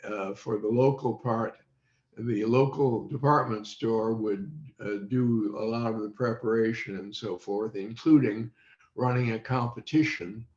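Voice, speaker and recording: Elderly man, slow and halting, roomy sound. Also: lowish voice, steady tone, a little mellow. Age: 80s or older. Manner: halting, measured, with long pauses. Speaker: interview guest, former radio quiz show child panelist. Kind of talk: unscripted reminiscing in an interview. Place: indoors, on a video call. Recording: dull, roomy video call audio.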